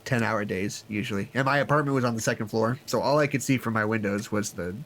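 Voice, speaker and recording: An actor playing an awkward, apologetic psychologist who takes staff statements, halting and stammering in a naturalistic audio drama. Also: mid-range voice, fairly bright tone, slight background noise, lightly acted. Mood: weary, earnest.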